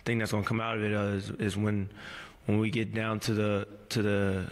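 A man in his thirties answering reporters at a postgame press conference, measured and halting, with many fillers and restarts, speaking into a table mic in a press room. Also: lowish voice, flat delivery, long reverb tail. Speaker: pro basketball player at postgame press conference. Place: press room before a team backdrop. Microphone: table mic on a stand.

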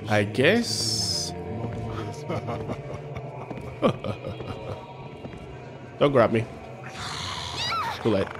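A man's voice: fairly low pitch, very animated, drawn-out words, pauses often. A man in his thirties streaming a horror game live, joking and laughing at himself as he plays, on a headset mic. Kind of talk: live gameplay commentary. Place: home room, indoors. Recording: game music behind voice.

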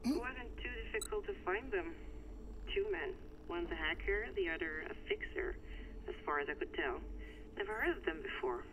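A woman's voice: lowish voice, lively intonation, normal speed, background noise. Tinny phone recording of young hacker woman. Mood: guarded. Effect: phone call recording. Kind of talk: video game acting.

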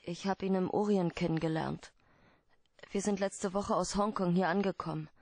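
An actress playing a guarded, troubled client pleading for help in a German radio play, hesitant and earnest. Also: female voice, fairly low pitch, fairly even intonation, moderate pace, darkish tone, dry recording. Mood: guarded, explaining.

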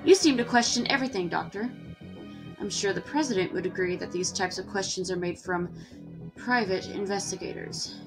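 An actress playing a confident, stern senior scientist, commanding and smug, in an audio drama. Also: female voice, mid-range voice, moderate pace, over music, natural voice, rough recording. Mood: challenging.

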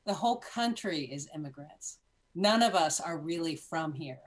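A middle-aged woman hosting a talk show over a video call, telling stories in an animated, talkative way. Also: sits low, normal speed, crowd noise. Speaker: host. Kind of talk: casual chat. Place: small room at home.

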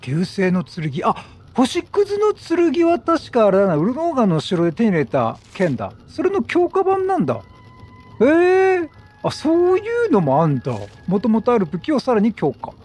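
Animated higher-voiced gamer man, husky edge. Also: normal speed. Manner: animated, talkative, exclaims and talks back to the game. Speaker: gaming YouTuber. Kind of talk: chatty video game let's play commentary. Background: game music.

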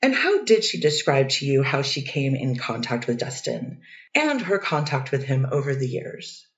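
A woman's voice: extremely low pitch, very animated, moderate pace, heavily acted. A woman reads as a poised defense attorney working through a cross-examination one careful question at a time. The voice sits far from the mic in a roomy, echoing space. The recording sounds dull and muffled. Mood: steady. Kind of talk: readers theater courtroom reading. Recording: dull distant echoey recording.

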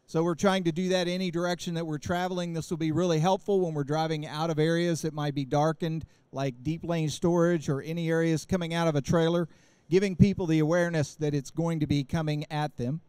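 A man in his forties with a fairly high, smooth, clear voice presents a product at a trade show booth. He explains technical details steadily into a handheld mic, with frequent fillers and restarts. The sound is close and dry. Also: steady tone, brisk, a little mellow, no echo. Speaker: company presenter. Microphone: handheld microphone.

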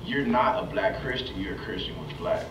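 A man's voice: lowish voice, lively intonation, brisk, recorded from a distance, muffled recording, over a noisy crowd. A young man on a discussion panel, talking emphatically in a streetwise way and often asking "you know what I mean.". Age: young adult. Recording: video played in an echoey hall, off-mic, noisy.

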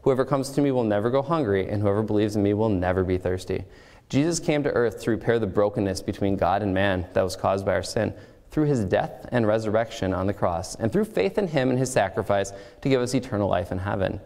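A man's voice: fairly low pitch, animated, brisk, darkish tone. A young man giving an earnest devotional sermon at a school chapel podium, reading scripture aloud with emphasis. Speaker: chapel speaker. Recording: echoey hall with long reverb.

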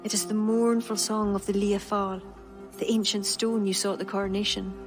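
An actress with an Irish accent playing a devout, steadfast young pagan court poet in a dramatic game cutscene. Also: female voice, medium pitch, flat delivery, a little fast, close to an everyday voice. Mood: solemn conviction. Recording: loud background music under dialogue.

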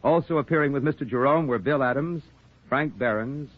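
Male announcer, formal 1940s radio reading, husky, narrow-band sound. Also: medium pitch, a little fast. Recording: narrow-band old radio, faint music.

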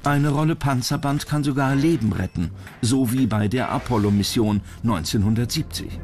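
A male narrator delivers scripted documentary voice-over at a middle pitch. Music plays clearly behind his voice throughout. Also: normal speed.

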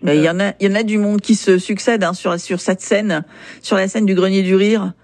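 A woman's voice: mid-range voice, steady tone, brisk, darkish tone. A woman radio host conducting an interview with friendly, upbeat questions.

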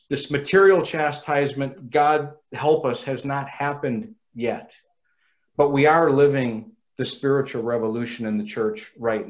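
Casting: earnest man lecturer on a video call, mid pitch, muffled audio. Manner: earnest, measured, quotes sources at length.

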